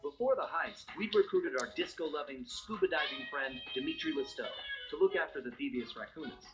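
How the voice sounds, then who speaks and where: An actor playing a suave raccoon master thief, narrating game cutscenes in a wry, smooth storytelling manner. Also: male voice, fairly high pitch, animated, a little fast, natural voice. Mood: wry, amused. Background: background music.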